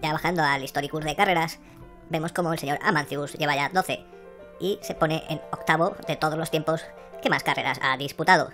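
A man voicing a hoarse, flustered female assistant, who rambles and then reads out rankings. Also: a little high, steady tone, brisk, bright, forceful tone, faint music behind, voice pushed up. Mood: reporting. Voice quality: hoarse, croaky.

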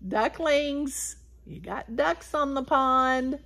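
A woman in her sixties hosting a story time, reading aloud and talking directly to young children in an animated way. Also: sits high, gravelly. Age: 60s. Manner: animated, addressing children directly, drawn-out words and animal sounds. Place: small wood-paneled room indoors.

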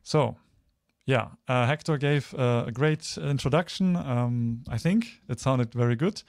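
Male presenter, thirties, from Germany. Informal, hesitant slide talk, mid-range pitch, dry recording. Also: a little slow, a little mellow, no room sound. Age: 30s. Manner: hesitant, searching for words. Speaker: guest speaker, photographer.

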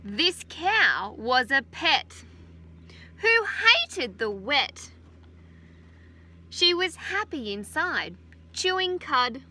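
An Australian woman in her thirties, a teacher gently reading a picture book aloud to children outdoors on a farm, adding chatty asides. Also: high voice, sing-song, extremely slow, pauses often. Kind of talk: children's story time read-aloud. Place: outdoors on a farm beside a utility vehicle. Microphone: phone camera held close.

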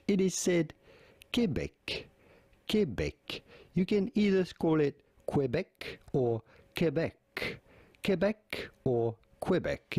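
Man, pronunciation guide narration, lively pitch, dry close sound. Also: dry recording. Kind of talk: scripted explainer narration.

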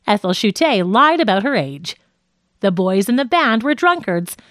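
A woman narrating a scripted nonfiction audiobook in a measured, articulate way. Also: medium pitch, sing-song, a bit bright, no echo, clean studio sound.

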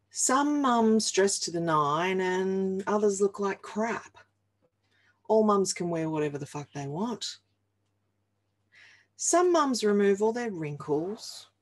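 An Australian woman in her forties reads humorous verse at an unhurried pace. Her delivery is expressive and deliberate, with a wry, playful touch. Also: mid-range voice. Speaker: reader of a humorous picture book. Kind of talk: expressive read-aloud of comic rhyming verse. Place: small home office, indoors.

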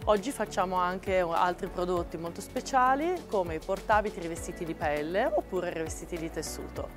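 A woman's voice: lowish voice, moderate pace. A woman in her forties, a marketing and sales director, speaking in a composed, articulate way at a trade fair stand, with occasional fillers. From Italy. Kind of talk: company interview at a trade fair. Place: exhibition stand at a large indoor trade fair. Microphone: lapel mic. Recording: music bed under the voice.

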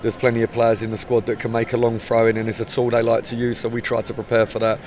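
A man in his forties, a football manager with an English accent, giving a measured, hesitant post-match interview outdoors by the pitch. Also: completely flat delivery, a little mellow, a little hiss or hum. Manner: measured, hesitant, many restarts. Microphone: handheld mic held up close.

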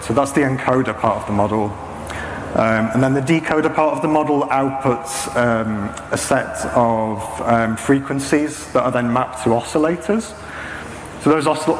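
A man, around his thirties, giving an informal technical talk in a hesitant, explanatory manner. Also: medium pitch. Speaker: presenter, saxophonist and machine learning practitioner. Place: indoor stage at a talk venue, before a projection screen. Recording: echoey hall, clearly noisy background.

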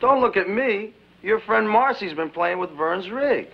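A man's voice: sits high, animated, a little slow, creaky, breathy edge, heavily acted. An actor playing a cocky young male college student who teases in a glib, smug way. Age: young adult. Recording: dull 1960s film soundtrack.

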